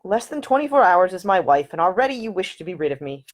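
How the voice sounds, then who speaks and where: Woman voicing a teasing gallant gentleman. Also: lowish voice, sing-song, fast talker. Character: charming, teasing young gentleman adventurer.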